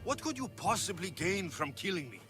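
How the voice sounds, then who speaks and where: An actor playing a tough, wry Spartan-born mercenary in a video game, blunt and defiant. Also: male voice, high-pitched, very animated, fairly quick, over music. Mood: defiant, pleading. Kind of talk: video game cutscene acting.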